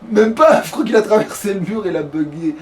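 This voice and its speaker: A man's voice: high voice, lively intonation, fairly quick, a little mellow, recorded from a distance. A man giving casual, grumbling, sweary commentary while playing a video game. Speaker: let's player. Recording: clear background noise, game ambience.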